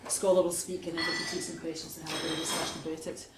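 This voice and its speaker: Glaswegian session chair, jokey. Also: male voice, on the high side, flat delivery, very fast. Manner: jokey, informal. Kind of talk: introducing speakers. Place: seminar room. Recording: echoey room, clear background noise.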